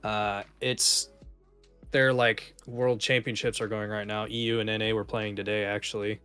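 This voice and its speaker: A man's voice: fairly low pitch, little pitch movement, moderate pace, pushed and bright, clean tone, faint music behind. A man co-hosting a podcast, explaining things in casual, informal gaming chat. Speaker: podcast co-host.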